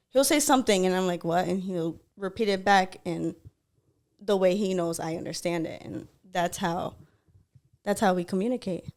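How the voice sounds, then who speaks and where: A woman's voice: fairly low pitch, darkish tone. A young woman co-hosting a podcast, chatting casually with many fillers and frequent laughs. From United States. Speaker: podcast co-host. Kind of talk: casual chat.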